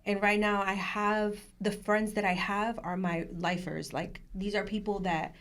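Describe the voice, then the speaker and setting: A woman's voice: mid-range voice, a little slow. A woman guest on a podcast, chatting casually, telling stories with lots of laughter and acting out what other people said. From Puerto Rican family, from New Jersey. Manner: animated storyteller, laughs often, acts out quotes. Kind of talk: casual interview chat.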